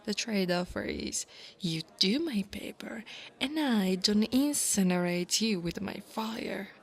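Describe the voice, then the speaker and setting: A woman's voice: mid-range voice, takes it slowly, creaky. A woman playing a haughty, insecure young dragon girl bully with a sneering, taunting manner in an ASMR roleplay. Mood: smug, mocking. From Italy.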